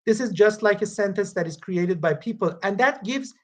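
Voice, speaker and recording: A man, a Farsi speaker, reading verses and commenting on them in a study group over a video call, halting with frequent pauses and restarts. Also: high-pitched, expressive. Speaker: Quran study presenter.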